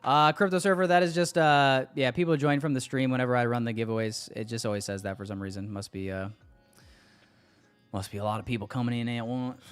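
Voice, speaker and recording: Male streamer, mid-pitched and clean-toned, casual and jokey slang with some swearing, talking to his chat. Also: clear, resonant tone. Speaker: livestream host. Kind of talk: casual livestream chat with viewers.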